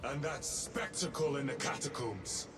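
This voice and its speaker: A man's voice: mid-range voice, lively intonation, measured pace, music in the background, natural voice. A man playing a grim space marine. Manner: grim, terse. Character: stern male space marine sergeant. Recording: distant reverberant game audio.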